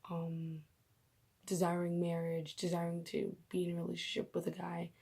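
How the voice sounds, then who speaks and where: A young woman vlogging from her bedroom while doing her makeup, chatty and rambling, her voice raspy as she comes down with a cold. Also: fairly low pitch, completely flat delivery, moderate pace, a little mellow. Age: young adult. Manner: chatty, rambling, laughs at herself. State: coming down with a cold. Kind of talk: casual faith vlog.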